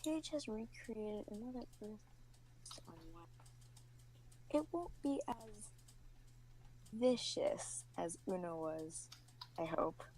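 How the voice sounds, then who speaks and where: A woman voicing a calm, reasonable male leader in a measured, explanatory way for a fan fiction reading. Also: medium pitch, lightly acted, low-quality recording.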